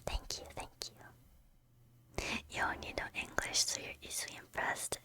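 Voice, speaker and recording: Female streamer, first language Korean, slow breathy whisper with a lot of ums, very high and sharp-toned. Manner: soft, unvoiced whispering; hesitant, with frequent ums and soft laughs. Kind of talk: whispered ASMR chat with chat readers.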